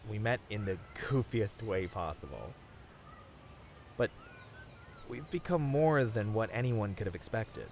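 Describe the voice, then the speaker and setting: A man playing a tender, sappy boyfriend in a romantic ASMR roleplay, speaking softly and earnestly to the listener with small hesitant stammers. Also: fairly low pitch, expressive, measured pace, long pauses, a little hiss or hum. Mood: sincere, devoted. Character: tender, sappy boyfriend confessing love on a picnic.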